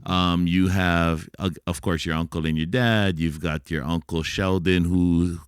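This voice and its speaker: Deep-voiced man hosting a podcast. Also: little pitch movement, measured pace, clean tone, no room sound, clean studio sound. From Hawaii. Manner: friendly, chatty questioning. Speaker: podcast host. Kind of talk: casual interview.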